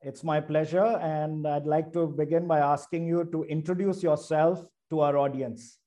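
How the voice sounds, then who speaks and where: A man's voice: on the high side, fairly even intonation, moderate pace, warm tone. A man hosting an interview show, introducing his guest and asking questions in a polite, formal way. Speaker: show host.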